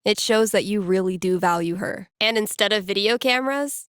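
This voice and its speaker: A woman's voice: mid-range voice, normal speed, fairly bright tone, clear, resonant tone, no room sound, studio quality, lightly acted. A woman playing a wry, playful young small-town radio DJ in a video game, talking casually with teasing asides. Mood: gentle, wry storytelling.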